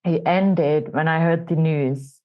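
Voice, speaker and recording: A woman from Finland with a Finnish accent, a guest on an interview show, sharing her personal story in a soft, reflective way. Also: sits low, steady tone, takes it slowly, muffled recording.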